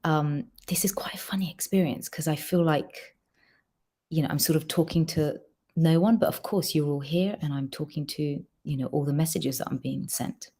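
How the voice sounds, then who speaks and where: Quick-talking low-voiced woman singer. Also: warm tone, husky. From Georgia. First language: Georgian. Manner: quick, chatty, lots of ums. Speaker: singer reading fan questions. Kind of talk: casual livestream Q&A.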